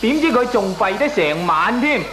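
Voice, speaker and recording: An actor playing a shameless, glib dog owner in a radio comedy drama, arguing back with a Hong Kong Cantonese accent. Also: male voice, sits high, big pitch swings, moderate pace, fairly bright tone. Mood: defensive, dismissive. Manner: glib, chuckling, argues back with lame excuses. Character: shameless, jovial dog-owning male neighbour brushing off complaints.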